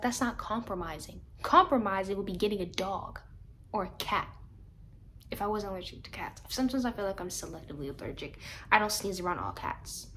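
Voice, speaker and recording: A woman's voice: mid-range voice, slight background noise. A woman of twenty chatting casually to camera, rambling and laughing at herself as she reads her birth chart. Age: 20s. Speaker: vlogger.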